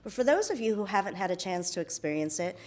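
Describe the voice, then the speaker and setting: A woman's voice: medium pitch, very animated, quick pace, a little mellow. A middle-aged woman born in the Philippines, hosting an interview in a chatty way with many fillers and restarts. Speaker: host, interviewer. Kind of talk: conversational interview.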